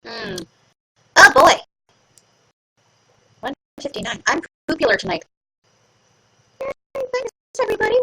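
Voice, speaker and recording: Lively, gravelly-voiced woman chatting live. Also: high-pitched, unhurried, pauses often. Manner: chatty, playful, self-mocking. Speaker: livestream host. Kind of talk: casual live chat with viewers.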